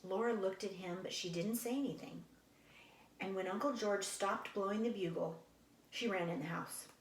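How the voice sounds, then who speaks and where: A woman's voice: medium pitch, normal speed, a little hiss or hum. Woman read-aloud teacher, mid-range voice, casual explanatory asides between story passages, roomy echoing sound. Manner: explains to young listeners, animated sound imitations. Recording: echoey room.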